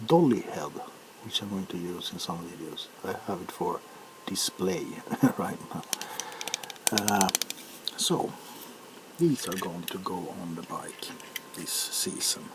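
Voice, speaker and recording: Low, slow-talking man in ASMR chat. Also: very noisy. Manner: halting, unhurried, hesitant. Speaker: ASMR video creator.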